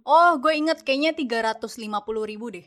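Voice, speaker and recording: A woman's voice: a little high, quick pace, a bit bright. A young woman, a student chatting casually about her story and padding it with slangy fillers. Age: young adult. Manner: chatty, slangy Jakarta speech with many fillers. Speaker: university student.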